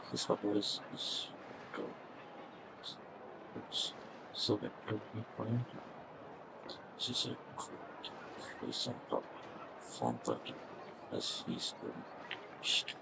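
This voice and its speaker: Low male streamer, creaky, halting mumbled reading of game text, loud background noise. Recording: very noisy live game stream.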